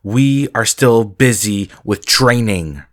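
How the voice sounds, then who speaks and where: Man playing a stern, low-voiced vampire swordmaster. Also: takes it slowly. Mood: cold, formal. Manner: curt, commanding, formal. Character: stern, relentless male vampire swordmaster and trainer. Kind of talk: dramatic audio roleplay acting.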